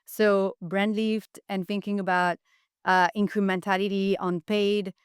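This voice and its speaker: A woman's voice: medium pitch, flat delivery, measured pace, clean tone, no echo, studio quality. A woman speaking as a podcast guest, giving enthusiastic, explanatory answers in a conversational interview style. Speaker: podcast guest, marketing executive.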